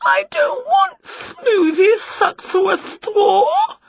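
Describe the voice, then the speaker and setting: A woman voicing an excitable, dramatic female witch in a storybook, with a shrill, theatrical manner, in a dull, lo-fi recording. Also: unhurried, no room sound. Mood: wailing. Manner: shrill, theatrical, over-the-top. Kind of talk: storybook character voice.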